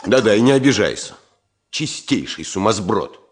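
A blustering professor in a Soviet radio drama. His low voice leaps about in pitch as he pleads and grumbles. He repeats words like a fussing, exasperated old friend. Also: very animated, moderate pace. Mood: grumbling.

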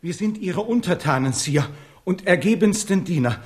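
A man's voice: sits high, expressive, moderate pace. An actor playing a servile, frightened townsman pleading obsequiously before his king in a German radio play.

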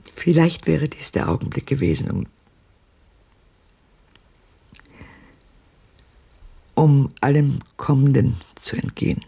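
An elderly woman in a radio drama, haunted by her memories. Her voice is very raspy and breathy, with heavy creak. She speaks haltingly and hushed, weighing each phrase. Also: very deep voice, lively intonation, exaggerated voice. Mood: bleak, resigned. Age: older. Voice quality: husky, gravelly, breathy. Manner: halting, hushed, deliberate delivery. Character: haunted old woman recalling her father's abuse, halting.

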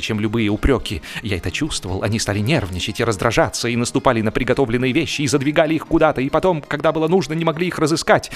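Male audiobook narrator, medium pitch, theatrical and drily comic, expressive phrasing with measured pauses, music underneath. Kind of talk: dramatized audiobook narration of a humorous story.

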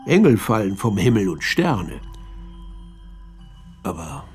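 A man narrating a literary radio play as a pensive storyteller, measured and deliberate, pausing between phrases. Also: fairly low pitch, sing-song, faint music behind. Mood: pensive, wondering. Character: pensive male narrator musing over vanished letters.

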